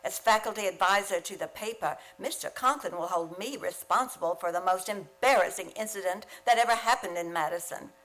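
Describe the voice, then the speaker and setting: A woman playing a wry, quick-witted high school English teacher in an old-time radio comedy. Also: fairly bright tone, faint music behind, close to an everyday voice, rough recording. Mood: dry, teasing.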